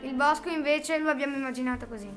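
A woman's voice: high voice, quick pace, over music. A woman reading a scripted radio description, setting out words one by one.

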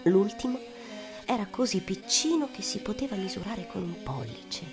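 A woman telling a fairy tale theatrically in a radio play, with dramatic drawn-out phrasing and sudden whispers. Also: mid-range voice, expressive, over music.

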